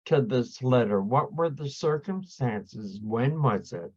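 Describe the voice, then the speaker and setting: A man's voice: medium pitch, animated, takes it slowly, darkish tone, slightly rough. A man taking part in a casual group discussion over an online call. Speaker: group participant. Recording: dull online call audio.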